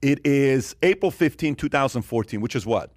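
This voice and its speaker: A man's voice: mid-range voice, expressive, moderate pace, no echo, studio quality. A man in his forties from Iran hosting a podcast, giving opinions casually and emphatically, repeating phrases for effect. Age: 40s. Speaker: podcast host.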